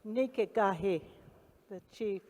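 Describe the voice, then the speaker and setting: A woman with an Oklahoma accent giving a solemn speech on stage at a live event, pausing for an interpreter. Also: medium pitch, darkish tone. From Oklahoma, USA. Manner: solemn, reverent. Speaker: guest speaker. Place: large event hall on stage. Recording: long reverb of a large hall.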